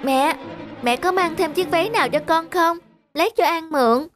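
A woman's voice: very high-pitched, moderate pace, over music. A woman voicing a kind, spirited little ballerina girl in a cartoon, earnest and childlike. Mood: urgent, helpful. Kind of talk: cartoon dubbing.

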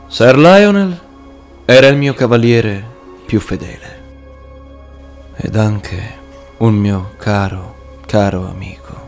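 A man playing a just, dignified king in an amateur cartoon dub, speaking in a measured, formal and earnest way. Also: lowish voice, soft background music. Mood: grave, mournful. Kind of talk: amateur cartoon fandub acting.

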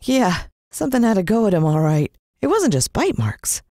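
A woman's voice: mid-range voice, very animated, normal speed, mellow, no room sound, studio quality, voice pushed up, lightly acted. A woman voicing a distraught, forlorn young boy in an audiobook story. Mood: upset disbelief. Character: distraught young boy mourning his dog.

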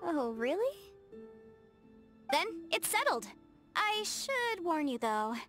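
High, animated, chatty young game heroine. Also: female voice, slow pace, exaggerated voice. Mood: eager, coaxing. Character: bubbly, talkative young female legal adviser, proud and eager. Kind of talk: English video game character voice lines. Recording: game music under the voice.

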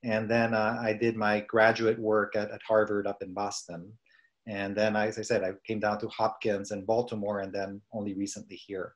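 A middle-aged man with an American accent, a scientist giving an informal, halting, conversational lecture over a video call. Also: fairly low pitch, flat delivery, highs cut off. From United States, East Coast. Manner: halting, conversational, self-correcting. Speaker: professor and research scientist presenting.

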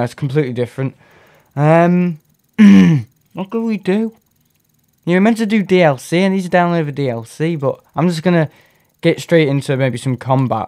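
A man hosts a live game stream with a hoarse, worn voice from a cough. He rambles on in loose, casual commentary, often stopping to clear his throat. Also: mid-range voice, warm tone. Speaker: gaming streamer.

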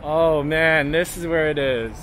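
A man's voice: on the high side, fairly even intonation, unhurried, a bit bright, slight background noise. Chatty Canadian man vlogging at night. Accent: Canadian English. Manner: chatty, easygoing, upbeat narration with fillers. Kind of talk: casual walking vlog. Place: busy city streets and bars at night. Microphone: handheld camera at arm's length.

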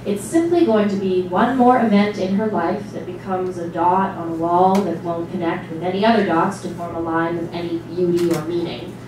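A woman's voice: lowish voice, moderate pace, hardly any pauses. A woman giving a scripted dramatic narration as a stage reading. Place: small stage before a seated audience. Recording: distant mic, very echoey hall, noisy.

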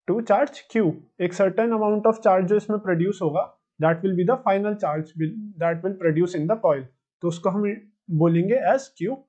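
A man's voice: high-pitched, darkish tone. A man in his twenties with an Indian accent, teaching physics step by step in a methodical way and repeating his phrases. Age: 20s. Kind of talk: step-by-step tutorial explanation. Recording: dull, muffled lo-fi sound.

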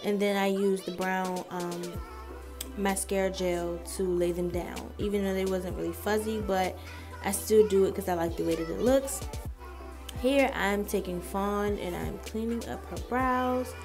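A woman's voice: mid-range voice, steady tone, measured pace, music in the background. A woman makeup artist giving a casual, chatty voice-over tutorial, informally addressing her viewers.

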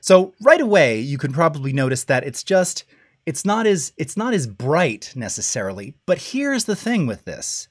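A middle-aged man with a low voice hosting a live-stream audio tutorial, talking casually and checking in often with "all right?" and "okay?". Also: big pitch swings, moderate pace, husky. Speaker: audio masterclass host. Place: home studio with dim blue lighting. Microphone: studio mic close up.

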